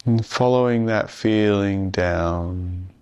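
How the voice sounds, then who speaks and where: A man leading a guided meditation in a calm, soothing, measured way with long pauses. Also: on the low side, clear, resonant tone.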